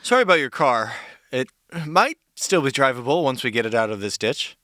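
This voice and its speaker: An actor playing a nervous, affable sidekick in an audio drama, hesitant and rambling, with a wry edge. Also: male voice, medium pitch, big pitch swings, fairly quick, pushed and bright, dry recording, clean studio sound. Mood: sheepish.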